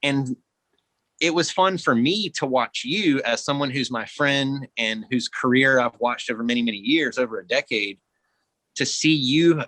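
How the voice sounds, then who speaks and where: A man speaking as a guest actor in a reflective podcast conversation, earnest and expressive. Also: medium pitch, dry recording, rough recording.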